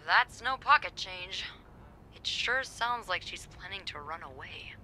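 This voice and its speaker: An actress playing a bold, wry, mischievous hotel employee in a narrative game. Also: female voice, medium pitch, animated, a little slow, sharp tone, a little hiss or hum, poor audio. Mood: curious, wry. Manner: wry, brazen, teasing.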